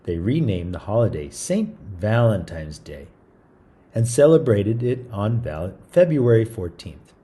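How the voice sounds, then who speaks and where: A man's voice: fairly low pitch, sing-song, measured pace, warm tone. A man hosting a story time, reading a picture book aloud in an informal, chatty way with small stumbles and asides. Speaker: read-aloud storyteller, show host.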